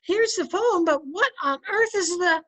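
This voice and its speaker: A woman's voice: very high-pitched, expressive, unhurried, fairly bright tone, heavily acted. A woman playing a patient, sensible, dryly teasing middle-aged wife in a recreated 1950s radio comedy. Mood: bewildered.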